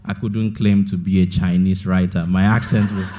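A man's voice: low voice, flat delivery, normal speed, warm tone, slight background noise. A young man in his twenties from Nigeria, an author answering questions in an onstage interview, thoughtful and often repeating words as he finds his point. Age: 20s.

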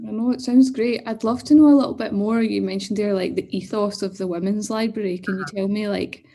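A woman's voice: mid-range voice, steady tone, brisk, mellow, slightly rough. A woman asking questions as the interviewer in an informal oral history interview over a video call.

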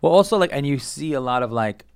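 A man, a stand-up comedian, talking as a podcast guest in a relaxed, rambling way. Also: mid-range voice, fast talker, gravelly, smooth, dry recording, clean studio sound. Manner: relaxed, rambling with many likes and you-knows. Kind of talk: casual interview chat.

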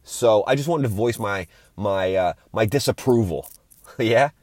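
A man with a fairly low voice hosts a podcast solo. He talks in an informal, opinionated rant, swearing casually as he airs his grievances. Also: measured pace, a little mellow, no room sound. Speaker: podcast host.

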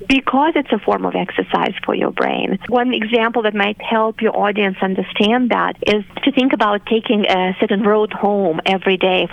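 A woman researcher from Moldova, explaining her work in a patient, measured way. Her voice has a gravelly, fry-edged texture, and the sound is dry and a little dull, as if over a lo-fi line. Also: medium pitch, no room sound. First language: Romanian. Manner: measured, explanatory, uses analogies. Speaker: language researcher guest. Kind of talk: expert interview answers.

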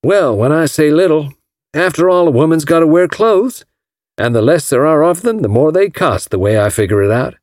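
A man voicing a folksy, lovestruck rich gentleman from Alabama with a drawling Southern American accent, in an audiobook. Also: on the high side, lively intonation, moderate pace, dry recording, clean studio sound, pitched above the performer's own voice, natural voice. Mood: confiding.

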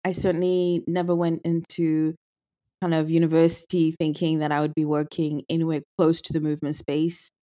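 A woman's voice: sits low, flat delivery, normal speed, warm tone. A young woman from Zimbabwe, a podcast guest speaking thoughtfully and casually, with frequent restarts. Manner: thoughtful, with many restarts and fillers. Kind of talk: casual conversational interview.

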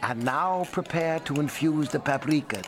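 A man's voice: fairly high pitch, moderate pace, a crowd in the background, close to an everyday voice. An actor playing a witty middle-aged father with literary flair in a radio drama, speaking in a grandiloquent, mock-formal way. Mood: mock-grand.

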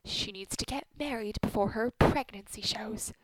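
A woman's voice: medium pitch, moderate pace, heavy background noise, close to an everyday voice. A woman playing a blunt, sardonic middle-aged wife with a bitter tongue in a radio play. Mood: scornful.

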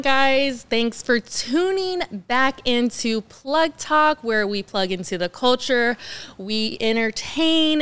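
A woman hosting a podcast in casual chat, loud and upbeat, laughing often. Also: a little high, clean tone. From Los Angeles. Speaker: podcast host.

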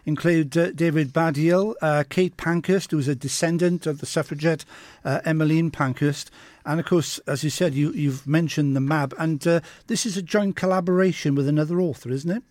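Male host, slightly higher voice, brisk and conversational, dry sound. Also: fairly even intonation, a little mellow, dry recording. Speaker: radio host. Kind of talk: interview questions.

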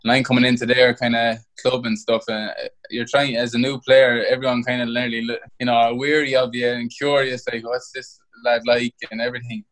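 A young man with an Irish accent talks at an easy, rambling pace about his life playing football abroad. He often restarts mid-phrase. His voice sits at an ordinary pitch for a man. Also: flat delivery, a little fast, fairly bright tone. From Ireland. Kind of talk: interview over a call.

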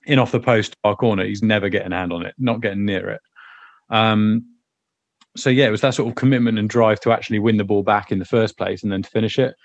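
A man co-hosting a casual football podcast with a hoarse, croaky voice, rambling and restarting his sentences. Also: fairly low pitch, fairly even intonation, fast talker, no echo, highs cut off. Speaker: podcast co-host.

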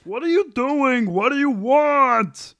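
A man's voice: very high-pitched, animated, takes it slowly, fairly bright tone, no echo, heavily acted. A man playing a whiny, put-upon sitcom husband and team captain in an amateur table read. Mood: irritated.

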